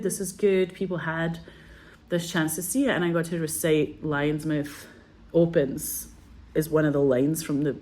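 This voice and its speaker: A woman's voice: sits low, a little mellow. A woman in her forties telling stories in a loose, chatty way, full of fillers, laughs and swearing. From Scotland. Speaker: filmmaker guest. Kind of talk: casual storytelling.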